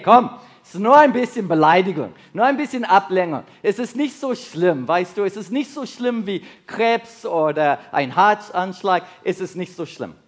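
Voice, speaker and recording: South African man preaching in halting German. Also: high-pitched. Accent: South African English. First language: English. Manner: halting non-native German with slips, asks the audience questions. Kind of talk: sermon to a congregation.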